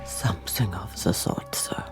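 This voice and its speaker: A performer playing a guarded, sombre German village innkeeper, terse, reluctant and deferential, with quiet disapproval. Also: mid-range voice, wildly swooping pitch, unhurried, faint music behind. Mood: reluctant, uneasy. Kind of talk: radio drama acting.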